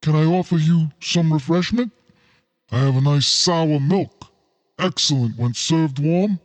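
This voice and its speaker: A man's voice: fairly high pitch, unhurried, natural voice. A man playing a scheming crime boss in a noir radio play, formal and genteel with an edge of menace. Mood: oily politeness. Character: suave scheming crime boss Fat Cat, noir villain.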